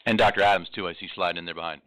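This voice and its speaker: A man's voice: deep voice, steady tone, very fast, pushed and bright. A man, the school superintendent, giving an upbeat report with many fillers in a meeting room.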